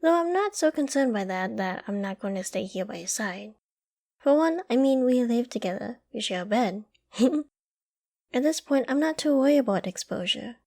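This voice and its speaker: A doting young girlfriend, acted by a woman in a comforting ASMR roleplay. Her voice sits fairly high yet is very mellow and warm. She speaks tenderly and intimately, cooing with affection and gently teasing her partner. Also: expressive, moderate pace, gravelly. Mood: teasing, affectionate.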